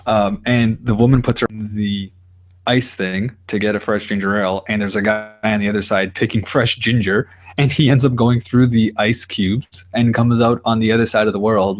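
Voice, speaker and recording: A young man with a somewhat low voice co-hosting a podcast. He rambles through stories and swears freely. He laughs easily at his own tangents. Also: a little slow. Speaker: podcast co-host. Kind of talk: casual podcast banter.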